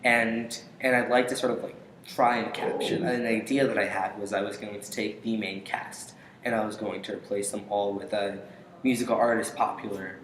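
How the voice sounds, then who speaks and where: A man's voice: fairly low pitch, steady tone, a bit bright. Hesitant young man in an echoey room. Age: young adult. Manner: hesitant, halting, pausing often. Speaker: interview guest, aspiring voice actor. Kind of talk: casual interview answers. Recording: echoey room with faint noise.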